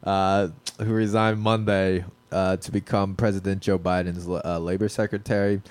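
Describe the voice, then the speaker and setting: Laid-back low-voiced podcast co-host. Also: male voice, flat delivery, normal speed, clean tone, no room sound. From Pennsylvania, Poconos area. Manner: rambling, swears freely, many fillers. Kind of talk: casual podcast chat.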